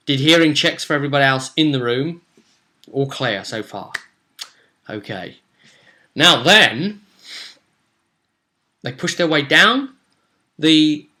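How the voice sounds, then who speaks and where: Young male vlogger and game master, bright edgy tone, casual, halting storytelling. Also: medium pitch. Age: young adult. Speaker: tabletop role-play game master, vlogger. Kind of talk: casual recounting of a game session. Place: small room at home, indoors.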